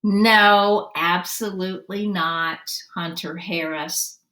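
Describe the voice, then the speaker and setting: A woman voicing a good-humored female elementary schoolteacher in a storybook, brisk, firm but helpful. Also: lowish voice, fairly even intonation, sharp tone. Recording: roomy, distant microphone.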